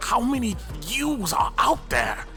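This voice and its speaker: A man's voice: very high-pitched, expressive, unhurried, pushed and bright, soft background music, full character voice. A man playing a proud, short-tempered alien warrior in an anime fan drama, brusque and demanding. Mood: exasperated. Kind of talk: anime fan-fiction roleplay voice acting.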